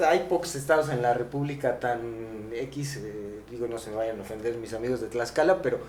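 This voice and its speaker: A middle-aged man with a Mexican accent co-hosting a casual podcast, haltingly repeating words as he recalls things. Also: mid-range voice, fairly even intonation, a little mellow. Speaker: podcast co-host. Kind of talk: casual panel discussion. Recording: echoey room with background noise.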